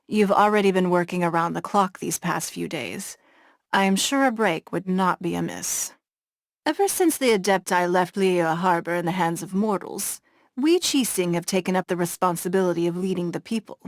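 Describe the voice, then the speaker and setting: A poised, shrewd noblewoman leader from a fantasy game, voiced by a woman. She speaks in a measured, formal and composed way, with a slightly low voice. The recording is dry and close, with no room sound. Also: no room sound, natural voice. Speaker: game character. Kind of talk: game cutscene voice acting.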